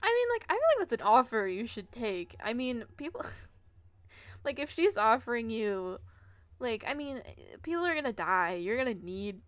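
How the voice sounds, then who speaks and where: A woman's voice: fairly high pitch, very animated, moderate pace, no room sound. A woman streaming a game, giving casual live commentary in a giggly, gushing way and reacting aloud as she plays. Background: game music.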